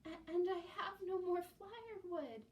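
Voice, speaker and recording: A bent, kindly old village woman speaks slowly and clearly to a child, as a woman reading a storybook puts her on. The voice sits a little above the reader's own and carries a roomy echo. It is picked up from some distance away. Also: very high voice, animated, a little mellow, pitched above the performer's own voice. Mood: gentle. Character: kind old peasant woman with a magic gift.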